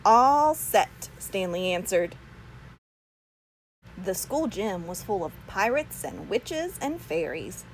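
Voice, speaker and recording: A woman reading a children's storybook aloud with expressive, deliberate phrasing. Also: on the low side, a little hiss or hum, rough recording. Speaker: storybook reader. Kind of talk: children's read-aloud narration.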